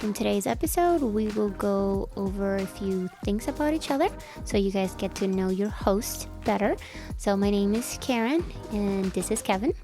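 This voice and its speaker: A woman's voice: medium pitch, normal speed, mellow, faint music behind. Woman in her twenties, chatty podcast host. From El Salvador. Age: 20s. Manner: chatty, self-deprecating, laughs easily. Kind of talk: casual chat.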